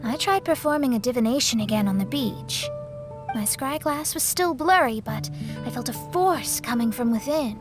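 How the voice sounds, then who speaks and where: Young astrologer for an anime game woman actor: high, animated, articulate, proud and guarded. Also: normal speed, music in the background, lightly acted. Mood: serious. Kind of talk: anime-style video game voice acting.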